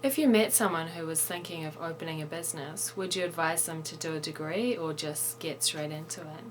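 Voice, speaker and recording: Woman, show host, scripted news reading, measured, faint hum behind. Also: low-pitched, rough recording.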